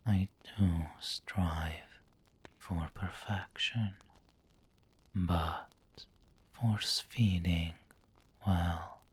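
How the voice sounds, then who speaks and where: A man playing a refined, doting, possessive vampire lord in an ASMR roleplay, breathy and whispery, with a genteel, coaxing manner. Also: deep voice, heavily acted. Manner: slow, genteel, coaxing endearments.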